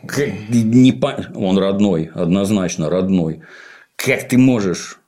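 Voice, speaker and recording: A man's voice: low voice, takes it slowly, mellow. A middle-aged man talking bluntly and sarcastically as host in a casual chat. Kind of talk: casual podcast chat, critical review.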